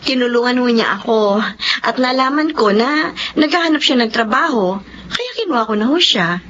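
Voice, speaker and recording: A woman acting a trusting young working wife and mother in a radio drama, warm and reassuring. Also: fairly high pitch, lively intonation, normal speed. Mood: earnest, reassuring. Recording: muffled lo-fi audio with hiss.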